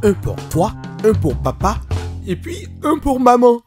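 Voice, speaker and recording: Performer as friendly cartoon guide, mid-pitched, didactic, everyday wording, guitar music behind. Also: big pitch swings, a little slow, a little mellow. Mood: patient, explanatory. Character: friendly patient budget guide, explaining to ordinary people. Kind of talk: animated educational film, explanatory dialogue.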